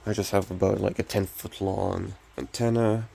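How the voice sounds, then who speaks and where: A young man with a scratchy, gravelly voice from a recent illness, giving a casual, unhurried, off-the-cuff show-and-tell as a hobbyist. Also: low-pitched, level tone, mellow. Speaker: hobbyist collector showing restored radio.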